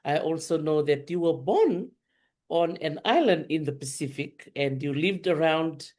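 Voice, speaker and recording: Host, soft hesitant delivery, mellow tone, middle pitch. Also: expressive, a little slow. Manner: soft-spoken, gentle questions with many hesitant fillers. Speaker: talk show host interviewing a student. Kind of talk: casual interview chat, switching between Fijian and English.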